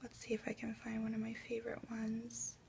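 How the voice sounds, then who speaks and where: A young woman talks casually in her bedroom, her voice gravelly and a little froggy from a cold. She sits a touch high for a woman and fills her chat with ums and likes, sometimes dropping to a soft aside. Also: monotone, fairly quick, slight background noise. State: slightly hoarse from a cold. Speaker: beauty vlogger. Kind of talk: casual haul chat to camera. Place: bedroom at home.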